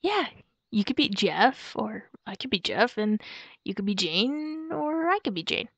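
A young woman playing a playful, excitable girlfriend in a roleplay audio, speaking softly and hesitantly as if musing aloud to her partner. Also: fairly high pitch, unhurried, no room sound, exaggerated voice. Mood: excited, brainstorming. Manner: giggly, cutesy, soft and hesitant, musing aloud. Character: playful, excitable werewolf girlfriend shopping for Halloween costumes. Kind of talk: girlfriend roleplay ASMR, improvised-sounding monologue to a partner. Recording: dull lo-fi close dry recording.

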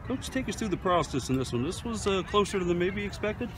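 A man asking a coach postgame questions in a brisk, conversational way. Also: high-pitched, expressive. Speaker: team media reporter. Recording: noisy outdoor recording.

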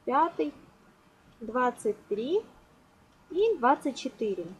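A woman giving a step-by-step craft tutorial in a reassuring, instructional way, often counting aloud. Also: high-pitched. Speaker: crochet tutorial host. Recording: noisy home recording.

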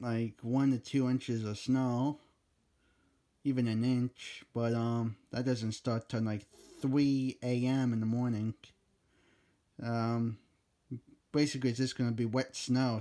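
A man in a bedroom recording a casual chat over a walkie-talkie app, relaxed and easygoing with chuckling asides. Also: medium pitch, a little slow. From Philadelphia area. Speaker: video maker.

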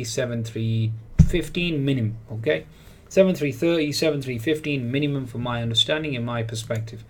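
Male market commentator, mid-pitched, halting and filler-laden daily update. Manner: hesitant, many "uh" fillers, repeats "certainly". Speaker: market analyst. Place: voice-over on screen recording.

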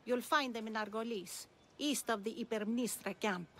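A woman's voice: a little high, normal speed, a little hiss or hum, close to an everyday voice. An actress playing a shrewd, enigmatic older woman of ancient Greece in a video game, composed and cryptic. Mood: testing, composed.

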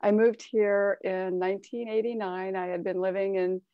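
A woman's voice: mid-range voice, flat delivery, moderate pace, no room sound. Chatty woman, dull dry call audio. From Cleveland, Ohio. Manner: friendly, chatty, some fillers. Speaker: artist on a panel. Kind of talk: casual panel talk. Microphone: video call.

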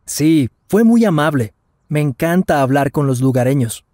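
A man's voice: fairly high pitch, expressive, normal speed, mellow, dry recording, studio quality. A man voicing Daniel, a curious traveler in his twenties, in a scripted lesson dialogue with careful delivery. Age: 20s. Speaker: voice actor in language lesson dialogue. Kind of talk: scripted slow and normal-speed lesson dialogue.

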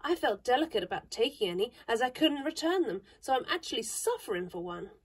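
A woman's voice: a little high, big pitch swings, a little fast, breathy edge. A woman playing a prim, chattering young girl. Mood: eager chatter. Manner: earnest, chattering, fussy over manners. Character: young girl, prim, vain, fond of big words.

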